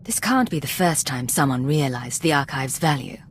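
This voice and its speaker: A woman's voice: rumbling low, animated, normal speed, slight background noise. An actress playing a self-possessed, probing female secret agent in a video game, with a cool manner. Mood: cool, inquisitive. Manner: cool, questioning.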